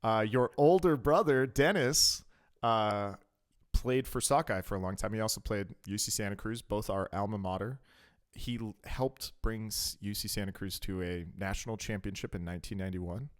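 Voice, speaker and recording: A man's voice: lowish voice, moderate pace. A man hosting a casual, chatty interview, talking in a familiar, teasing way. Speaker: podcast interviewer.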